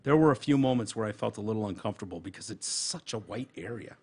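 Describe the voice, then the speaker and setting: A man's voice: lowish voice, expressive, fast talker, a little mellow, slightly rough. An American man co-hosting a podcast, chatting casually and reading items out in an irreverent, dry, profane way. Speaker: podcast co-host. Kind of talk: casual chat, reading out profiles and gossip items.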